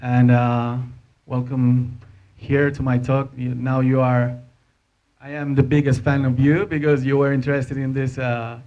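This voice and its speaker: A man in his thirties with a Spanish accent gives an informal conference talk as an animator, speaking halting non-native English into a handheld microphone. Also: mid-range voice, flat delivery, darkish tone, lo-fi sound. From Spain. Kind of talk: informal presentation to an audience. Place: indoor hotel conference room.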